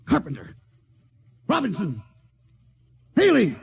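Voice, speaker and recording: An actor playing a commanding, embattled spaceship captain in a 1950s radio drama, delivered with a forceful, urgent, dramatic manner. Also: male voice, high-pitched, wildly swooping pitch, slow pace, gravelly, husky, full character voice. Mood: frantic. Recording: noisy vintage radio broadcast.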